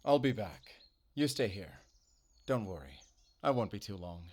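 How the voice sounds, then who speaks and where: A man's voice: fairly low pitch, sing-song, unhurried, darkish tone. An actor playing a guarded, determined male werewolf hunter on a spy mission, speaking tersely in an audio drama. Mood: resolute. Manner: terse, clipped, businesslike. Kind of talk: cinematic audio roleplay acting.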